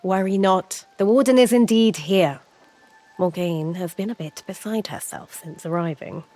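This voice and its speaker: A woman's voice: medium pitch, normal speed, warm tone, faint music behind, natural voice. An actress playing a composed, protective female companion in a fantasy video game, with formal diction. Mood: reassuring, composed.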